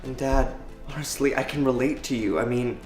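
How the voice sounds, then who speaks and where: A man plays an earnest, pleading son in a melodramatic web drama. He speaks with preachy sincerity at a middling pitch. The dialogue sounds roomy, with music underneath. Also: normal speed, darkish tone, lightly acted.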